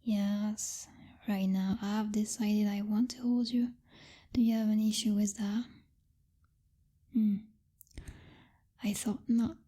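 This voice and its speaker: A woman playing a possessive, jealous vampire girlfriend who is commanding but secretly tender, in a soft, intimate ASMR whisper close to the microphone. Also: medium pitch, level tone, breathy edge. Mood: grudgingly affectionate. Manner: slow, teasing, domineering, with lingering pauses and hums.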